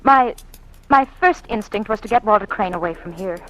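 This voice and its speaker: Actress as anxious, faltering genteel radio-drama wife. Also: female voice, medium pitch, lively intonation, moderate pace, a bit bright, close to an everyday voice. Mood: nervous, confiding. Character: anxious, secretive politician's wife pleading for help. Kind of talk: 1940s radio drama acting, melodramatic. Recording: faintly noisy old radio recording.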